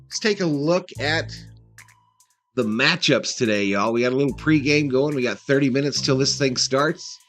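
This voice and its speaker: A man's voice: medium pitch, moderate pace, fairly bright tone, faint music behind. A man hosting a sports livestream, giving informal, chatty pregame commentary and talking directly to his viewers. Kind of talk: casual live pregame commentary.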